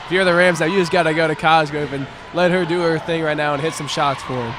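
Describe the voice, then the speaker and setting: A man's voice: a little high, fairly even intonation, fast talker, noisy background. A young American man giving conversational color commentary at a live basketball game. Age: young adult. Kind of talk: live sports analysis, conversational.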